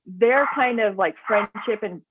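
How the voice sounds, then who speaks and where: A woman author talking about her books in a relaxed, rambling way, full of "um" and "like", over a remote call. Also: medium pitch, a little slow, background noise. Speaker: author guest. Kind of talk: casual interview chat. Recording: tinny dry phone line.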